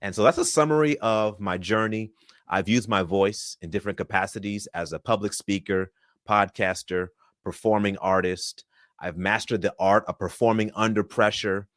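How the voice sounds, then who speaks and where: A man's voice: lowish voice, moderate pace, fairly bright tone, no echo, studio quality. A man from Florida, in his thirties, answering interview questions in an upbeat, polished speaker's way. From Florida, USA. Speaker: podcast guest.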